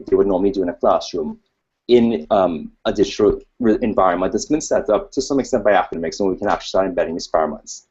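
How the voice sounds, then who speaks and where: A low, husky male voice with a Trinidadian accent delivers an informal academic presentation. He wanders into asides and plays himself down. The sound is dull and lo-fi, like a webcast. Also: dark tone. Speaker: academic researcher presenting at a conference. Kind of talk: informal conference presentation with slides.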